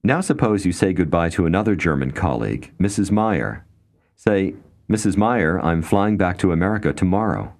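A man's voice: sits low, lively intonation, moderate pace, a little mellow. A man narrating a language course, reading short English phrases for the learner to repeat. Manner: clear prompting, reading short English cues. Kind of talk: scripted instructional narration.

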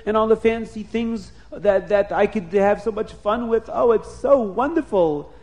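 Storybook king played by a male storyteller: high voice, vain and excitable, blustering, childlike, repeats his exclamations. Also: unhurried. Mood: giddy delight.

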